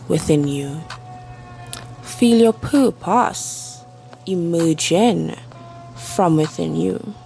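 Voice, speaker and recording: A woman with a low, creaky voice guides a meditation over faint music. She delivers affirmations in a soothing, deliberate way, with long pauses between phrases. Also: low-quality recording. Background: soft background music.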